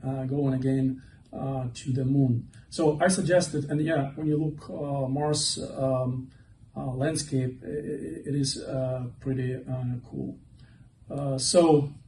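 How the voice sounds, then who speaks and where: A man in his forties from Ukraine presents to a conference audience, pausing often with many fillers. His voice is mellow and flat in pitch. The sound comes from a little way off the mic in a reverberant hall. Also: slight background noise. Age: 40s. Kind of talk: conference talk. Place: event hall. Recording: echoey hall, mic from far off.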